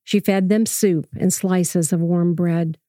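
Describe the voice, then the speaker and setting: A woman reading a scripted first-person story as narrator, in a measured, reflective way. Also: fairly low pitch, steady tone, warm tone, no room sound, studio quality.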